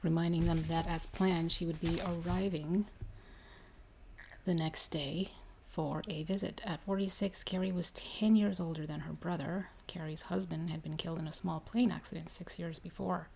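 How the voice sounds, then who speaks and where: Low, creaky-voiced woman reading softly. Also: steady tone, normal speed. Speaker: ASMR reader. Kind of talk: ASMR storybook reading. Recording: faint noise behind the voice.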